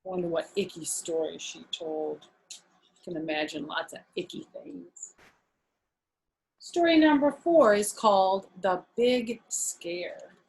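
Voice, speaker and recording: Slow-paced woman reading to children. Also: on the low side, vocal fry, poor audio. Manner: explains and questions for young listeners. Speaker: children's storyteller. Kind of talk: read-aloud with casual asides to children.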